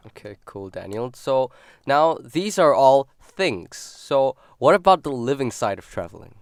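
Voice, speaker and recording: A man's voice: medium pitch, very animated, measured pace, no room sound. A man hosting a morning radio show in a studio, interviewing a guest in an upbeat, friendly way. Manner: upbeat and friendly, asking questions.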